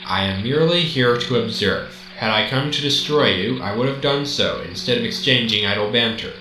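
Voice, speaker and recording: An actor playing a haughty, menacing robot master in an amateur radio drama, speaking formally and coolly. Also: male voice, mid-range voice, normal speed, sharp tone, faint music behind, natural voice. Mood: cool menace. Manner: formal, stilted, condescending. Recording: roomy echo, clearly noisy home recording.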